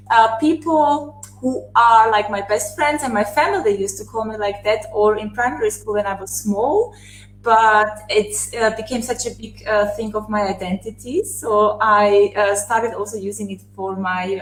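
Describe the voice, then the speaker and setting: A woman's voice: on the high side, fairly bright tone, roomy sound, slight background noise, poor audio. A woman in her thirties, born in Serbia and raised in Austria, telling her life story as a guest on a video call, with many fillers and restarts. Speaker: interview guest. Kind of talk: casual conversational storytelling.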